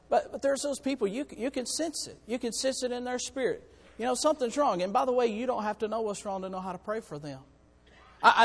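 Hoarse, gravelly man preaching in a church. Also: high voice, big-room sound. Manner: folksy, calls out to the congregation. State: hoarse, has lost part of his voice. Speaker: church staff member preaching. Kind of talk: sermon. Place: church sanctuary.

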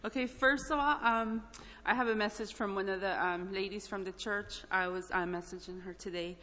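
A woman from El Salvador shares an informal testimony in church. Her medium-pitched voice is halting, earnest and full of feeling. It rings through a hall with long reverb. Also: fairly even intonation, sharp tone. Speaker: church mission trip member giving a testimony. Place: large indoor church hall, speaking up front.

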